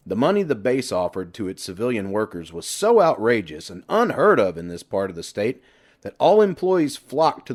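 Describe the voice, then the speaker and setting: A man's voice: low-pitched, a little mellow. A man reading a horror novel aloud as scripted audiobook narration.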